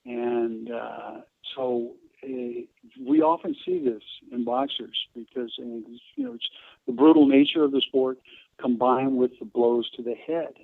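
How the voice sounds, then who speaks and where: An American man of around seventy telling stories in an interview, halting and digressive, often pausing mid-sentence. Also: medium pitch, big pitch swings, gravelly, no room sound. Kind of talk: conversational interview storytelling. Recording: narrow phone-like line.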